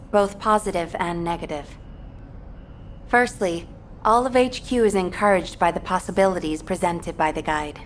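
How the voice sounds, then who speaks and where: A woman playing a dutiful military operations officer in a video game, speaking in a formal, measured, earnest way. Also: mid-range voice, a little hiss or hum, lightly acted. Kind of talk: video game mission briefing.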